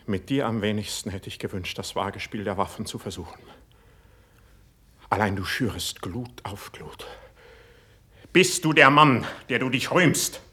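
Proud young poet, bright intense voice, old-school verse drama, declaims with real fervour. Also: male voice, lowish voice, unhurried, long pauses. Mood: defiant. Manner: declamatory, fervent, swinging from hushed to outraged. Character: hot-blooded, proud young male poet, passionate and wounded. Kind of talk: classical verse stage drama, German radio play.

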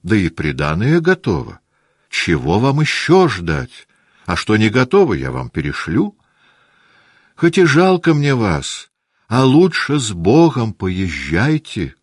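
A man's voice: fairly low pitch, wildly swooping pitch, takes it slowly, husky, dry recording, studio quality, pitched above the performer's own voice, full character voice. A man reading an audiobook, voicing a blunt, decisive older society matron in an emphatic, commanding manner. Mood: firm, kindly.

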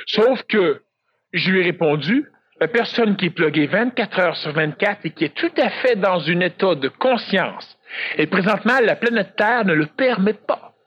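High-voiced Québécois man lecturing emphatically. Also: dry recording. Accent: Québécois French. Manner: emphatic, repetitive, rhetorical questions to the audience. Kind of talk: public lecture. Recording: dull lo-fi dry recording.

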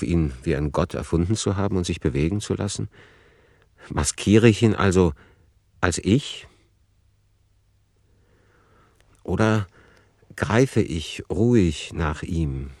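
Deep-voiced deliberate literary author. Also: male voice, darkish tone, no echo, clean studio sound. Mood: pondering. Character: reflective male author musing over his novel. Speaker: narrating author in a radio play. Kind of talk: literary radio play narration.

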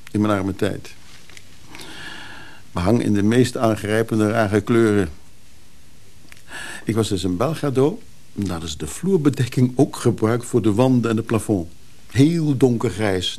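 An actor playing a restless, moody lover in a radio drama, speaking haltingly and reflectively. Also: male voice, lowish voice, warm tone. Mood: wry, reflective. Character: restless moody male lover haunted by dreams. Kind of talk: intimate radio drama acting.

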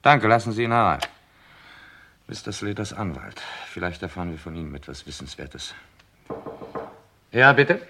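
An actor playing a terse, sober chief inspector in a crime radio play, measured and authoritative. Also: male voice, deep voice, expressive, noisy background. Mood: businesslike.

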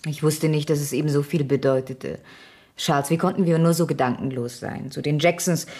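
Anxious, self-blaming wife; low husky female voice, soft pleading, radio crime play. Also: fairly even intonation, normal speed. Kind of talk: German radio crime drama acting. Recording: 1970s studio radio play recording.

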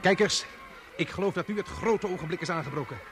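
A man's voice: sits high, quick pace, lightly acted. An actor playing a chatty, showy bystander who talks in salesman-like patter and commentary in a radio play. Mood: excited announcing. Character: chatty, showy bystander man turned excited event commentator. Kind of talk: Dutch radio play acting.

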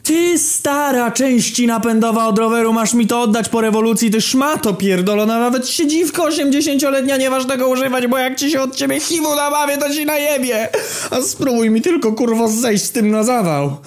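A foul-mouthed, excitable young male rebel played by a male storyteller. His voice is pushed up high as he rants theatrically. He sounds like a hot-headed sidekick in a comic audio story. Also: moderate pace. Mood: furious ranting. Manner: swearing, ranting, theatrical. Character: Kamil, crude excitable young male revolutionary buddy.

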